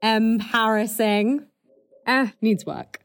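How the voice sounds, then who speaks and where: A woman's voice: on the high side, fairly even intonation, takes it slowly, no echo. A woman playing an acid-tongued, impatient political staffer in a comedy drama. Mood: exasperated, sarcastic. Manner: cutting, sarcastic, impatient. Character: acid-tongued, foul-mouthed female political staffer, scornful. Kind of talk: fast comedy audio drama dialogue.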